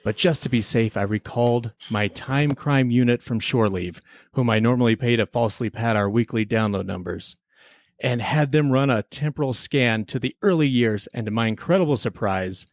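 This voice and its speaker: A man's voice: mid-range voice, normal speed, dry recording, studio quality, close to an everyday voice. A man playing a smug chief temporal investigator in a scripted comic radio drama, with a mock-serious, theatrical delivery. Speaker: podcast creator playing himself as investigator.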